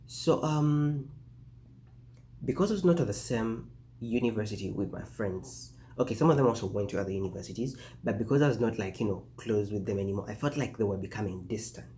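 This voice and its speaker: Man, early 20s, low mellow voice, rambling casual vlog delivery. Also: fairly quick, slight background noise. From Uganda. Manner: rambling, chatty, frequent fillers and restarts. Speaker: vlogger. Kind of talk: casual talking-head vlog.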